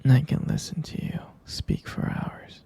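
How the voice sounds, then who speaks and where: A man playing an adoring, tender vampire lover in an intimate ASMR roleplay, whispering breathily and haltingly with gravelly fry. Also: natural voice. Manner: slow, halting, softly repeating himself. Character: adoring, tender male vampire lover soothing his sweetheart.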